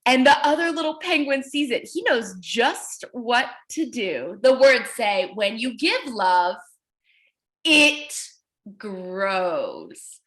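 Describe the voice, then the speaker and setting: Animated high-pitched woman teacher with bright voice. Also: takes it slowly. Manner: animated, encouraging praise and questions; repeats children's answers back. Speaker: early childhood teacher. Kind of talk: interactive children's read-aloud lesson.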